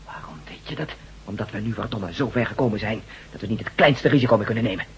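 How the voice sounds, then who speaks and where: A man's voice: on the low side, fast talker, creaky. A man playing a hardened, distrustful resistance fighter, blunt and sarcastic, in a science-fiction radio drama. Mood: grim. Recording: dull old radio recording, faint noise.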